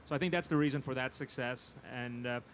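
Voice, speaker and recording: Male panel guest, producer type. Medium pitch, conversational, emphatic, repeats himself for weight. Faint live-event background noise. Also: a little fast, fairly bright tone. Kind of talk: conversational panel interview answers.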